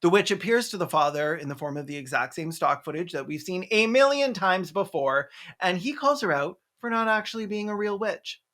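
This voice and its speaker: A video essayist giving a sarcastic, comedic movie recap, with snarky deadpan asides and mock-dramatic exclamations. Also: medium pitch, a little fast. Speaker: video essayist, film reviewer.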